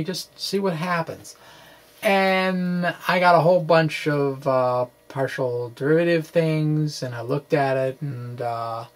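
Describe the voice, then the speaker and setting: A middle-aged man informally explaining physics as he reads his own blog aloud, halting, wry and self-deprecating. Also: fairly high pitch, vocal fry. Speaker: physics blogger and presenter. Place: small room with bookshelves, indoors. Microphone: microphone on stand in front of him.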